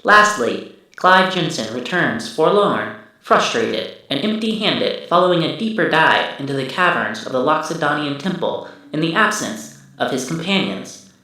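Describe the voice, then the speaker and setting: A man voicing a machine memory archive, reciting a recap in a formal, deliberate, dramatic style. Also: fairly high pitch, sing-song, bright, forceful tone, full character voice. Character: male machine memory archive, formally recounting past adventures. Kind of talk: scripted recap narration. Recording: distant voice in heavy echo.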